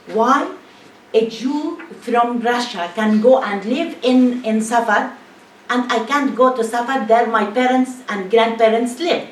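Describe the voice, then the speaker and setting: A woman from northern Israel, speaking emphatically and asking rhetorical questions in a public talk. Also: on the high side. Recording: echoey room, mic at a distance, faint hiss.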